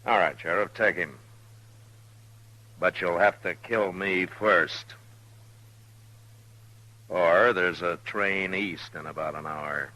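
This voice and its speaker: An actor playing a dry, unbending American frontier US marshal in an old-time radio western. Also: male voice, deep voice, slow, pauses often, bright, forceful tone, heavily acted, poor audio. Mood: firm, unyielding. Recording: vintage radio drama recording.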